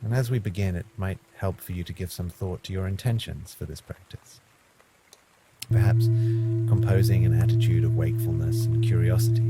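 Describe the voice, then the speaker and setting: A man with a low-pitched voice guides a mindfulness meditation. He gives gentle, measured instructions and leaves frequent pauses between phrases. Prominent music with singing bowl tones plays behind him.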